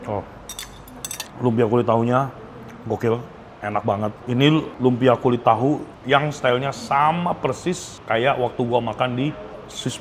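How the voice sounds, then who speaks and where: A man gives a casual, enthusiastic food review to camera, often stretching words for emphasis. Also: medium pitch. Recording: noticeable background ambience noise.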